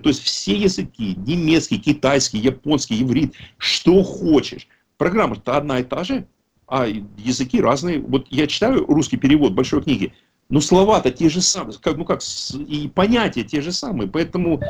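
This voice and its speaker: Man, 50s, husky, rambling and emphatic speaker at a recovery meeting. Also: fairly high pitch. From Russian-speaking country. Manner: rambling, self-correcting, emphatic. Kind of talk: casual talk at an online recovery meeting.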